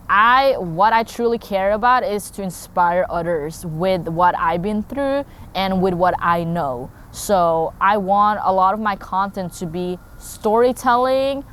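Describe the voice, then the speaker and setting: A woman's voice: mid-range voice, slight background noise. A young woman from Norway talking casually to camera about her work as a content creator, rambling with lots of fillers and restarts. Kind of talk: casual talking-head vlog.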